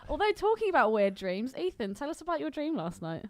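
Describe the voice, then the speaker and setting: High-voiced, teasing woman bantering on a podcast. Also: very animated, brisk, a little mellow, no echo. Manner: sweary, teasing, giggly. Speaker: podcast co-host. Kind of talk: casual comic banter.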